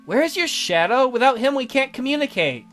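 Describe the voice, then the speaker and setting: A man's voice: very high-pitched, sing-song, fairly quick, fairly bright tone, faint music behind, pitched above the performer's own voice, full character voice. A man voicing a bitter, sarcastic youth trapped in a child's body, reading visual novel dialogue with theatrical indignation. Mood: weary, curt. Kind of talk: visual novel character read-aloud.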